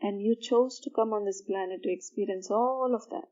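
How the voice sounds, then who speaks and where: A woman guiding a sleep meditation in a soothing, measured manner, pausing between short phrases. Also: medium pitch, a little mellow, dull audio. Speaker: meditation guide. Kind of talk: guided meditation for sleep, slow instruction.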